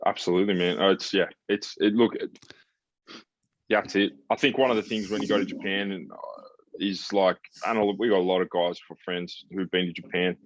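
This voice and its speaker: A man's voice: low voice, fairly even intonation, brisk, no room sound. A man hosting a sports podcast in casual conversation, talking in a blunt, laid-back, matey way. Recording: slightly dull, lo-fi sound.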